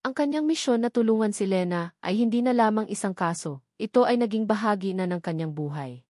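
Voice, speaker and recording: A woman's voice: medium pitch, lively intonation, brisk, dark tone, dry recording, studio quality. A woman reads a scripted dramatic story as its narrator.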